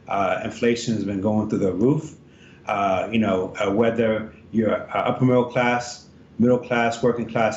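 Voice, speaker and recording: A man from Ethiopia, a congressional candidate, answering interview questions in a halting way with many fillers and restarts. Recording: roomy, dull remote line with faint rumble.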